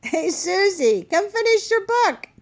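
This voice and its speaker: A woman's voice: very high-pitched, very animated, a little slow. A woman helping a young child read a picture book aloud, casually prompting, echoing lines and encouraging in child-directed speech.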